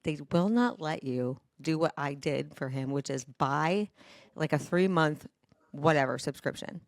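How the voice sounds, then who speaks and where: A woman with a low voice hosts a casual podcast. She talks in an animated, dramatic way and swears freely. The sound is very dry and close. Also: unhurried, no echo. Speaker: podcast host. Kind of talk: casual chat, storytelling.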